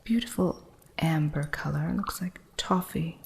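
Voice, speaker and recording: A woman playing a female witch shopkeeper who teaches an apprentice, in a soft whisper with dry humor, for an ASMR roleplay. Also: deep voice, unhurried, vocal fry, breathy edge, exaggerated voice. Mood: hushed.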